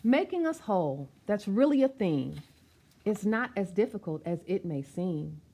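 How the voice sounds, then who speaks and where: A woman's voice: medium pitch, sing-song, measured pace, warm tone, breathy edge. A woman reading a children's picture book aloud, speaking expressively and directly to young listeners with chatty asides. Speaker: storytime reader.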